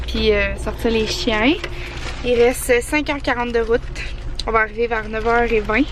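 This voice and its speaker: A woman's voice: on the high side, background noise. A woman with a Québécois French accent, chatting casually in a rambling vlog, sounding congested with a sore throat. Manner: chatty, rambling with many fillers. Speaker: vlogger. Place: car and outdoors while traveling.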